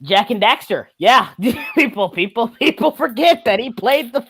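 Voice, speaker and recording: Animated man hosting a casual chat. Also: very high-pitched, normal speed, breathy edge. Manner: teasing, jokey. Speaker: host.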